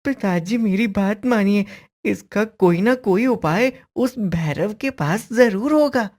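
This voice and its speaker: A woman's voice: mid-range voice, lively intonation, takes it slowly, a little mellow. A woman voicing an anxious, naive young boy in a cartoon story. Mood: worried, pleading.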